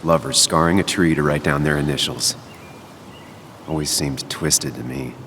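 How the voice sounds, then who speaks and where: An actor playing a melancholy, cynical former journalist in a subdued, introspective game monologue. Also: male voice, very deep voice, fairly even intonation, normal speed, a bit bright, slight background noise. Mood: brooding, reflective. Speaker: game protagonist narrator. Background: music.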